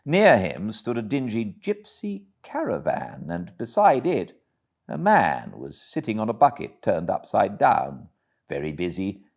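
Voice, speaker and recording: Slow, low-voiced British male storyteller. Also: wildly swooping pitch, gravelly. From Britain. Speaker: audiobook narrator. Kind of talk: expressive storybook narration.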